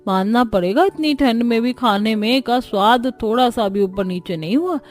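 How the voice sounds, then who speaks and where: A woman voicing a kindly, approving older in-law in an animated family story. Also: on the high side, moderate pace, natural voice. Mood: kind approval.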